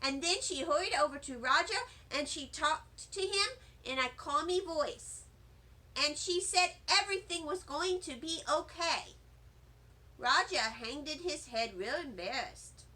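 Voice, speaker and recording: A woman reading a children's storybook as an excitable, dramatic little first-grade girl, with childlike grammar and emphatic delivery. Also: high voice, unhurried, full character voice. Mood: breathless, dramatic. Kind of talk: children's storybook read-aloud.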